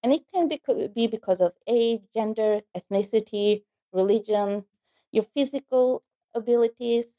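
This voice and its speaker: A middle-aged woman speaking as a panel guest in a measured, articulate way. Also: fairly high pitch, warm tone, muffled recording. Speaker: panel guest, design director. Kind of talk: self-introduction on a panel.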